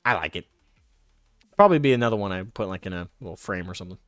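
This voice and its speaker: A man's voice: deep voice, lively intonation, rapid-fire, a bit bright, no room sound, clean studio sound, faint music behind. A man streaming casually, reading card text aloud and drifting into rambling personal stories. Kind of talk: casual live stream chat.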